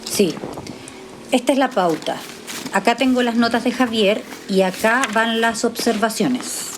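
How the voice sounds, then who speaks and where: A woman's voice: a little high, measured pace, close to an everyday voice. Serious Chilean psychologist played by a woman, noisy ambience. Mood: businesslike. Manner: questioning, hesitant, serious. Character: skeptical female trauma psychologist, probing and incredulous. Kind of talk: naturalistic audio drama acting.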